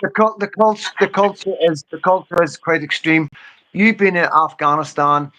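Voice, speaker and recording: A man's voice: on the high side, steady tone, moderate pace, bright, forceful tone, low-quality recording. An English man in his fifties chatting casually on a livestream, insistent and often repeating his phrases. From England. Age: 50s. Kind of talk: casual opinionated livestream chat.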